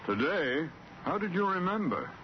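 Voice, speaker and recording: A man's voice: a little high, sing-song, normal speed. A man playing a blustery, wry, middle-aged boss with an American accent in an old radio sitcom. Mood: genial, wry. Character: blustery, exasperated well-to-do boss, henpecked husband. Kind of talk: 1940s-50s American radio sitcom acting. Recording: very noisy, muffled old radio broadcast.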